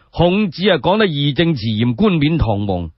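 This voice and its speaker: Male Cantonese radio storyteller, higher-pitched and colloquial, very lively delivery, slightly muffled recording. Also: measured pace, husky, no echo. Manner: colloquial, dramatic. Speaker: solo storyteller. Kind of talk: animated oral storytelling of historical tales for radio.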